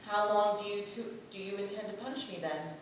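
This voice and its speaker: A woman's voice: medium pitch, a little fast, close to an everyday voice. An actress playing an earnest, hurt and indignant townswoman in a staged reading of an English period play. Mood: subdued, tense. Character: hurt, indignant Puritan-leaning townswoman in 1607 England. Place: indoor playhouse before an audience. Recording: very echoey theater, distant noisy mic.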